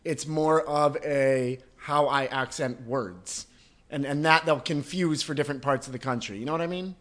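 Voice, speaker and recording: A man's voice: fairly high pitch, moderate pace, a bit bright. A man hosting a podcast, chatting casually, laughing easily and setting up long questions. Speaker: podcast host.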